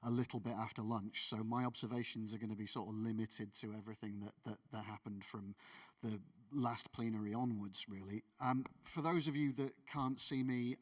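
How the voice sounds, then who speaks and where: A man's voice: on the low side, far from the mic. A man giving casual, self-deprecating, joking remarks as a late panellist at a conference. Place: conference hall.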